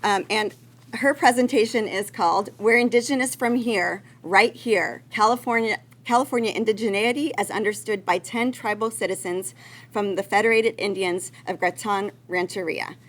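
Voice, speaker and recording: A woman's voice: mid-range voice, normal speed. A woman speaking as the host of a student research conference, giving semi-formal remarks to the room in an encouraging manner. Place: live conference room with audience. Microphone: event microphone.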